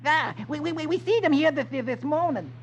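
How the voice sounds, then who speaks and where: A man's voice: extremely high pitch, normal speed, voice pushed up. A man voicing a small, eager, simple lizard with a folksy stammer, in 1950s radio acting style. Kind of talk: 1950s radio funny-page character acting. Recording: muffled, noisy 1950s radio recording.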